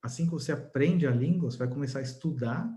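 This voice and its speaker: Mellow-voiced Brazilian man. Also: medium pitch, quick pace. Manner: relaxed, brief agreeing replies. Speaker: marketing professional and co-host. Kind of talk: casual podcast chat.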